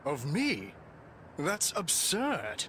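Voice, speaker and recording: An actor playing a noble, grieving knight in a fantasy game, with formal archaic diction and an emotional, halting delivery. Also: male voice, a little high, huge pitch swings, background noise, full character voice. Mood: bewildered, searching. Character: noble, grieving old-fashioned knight, earnest and courtly. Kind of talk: theatrical fantasy game voice acting.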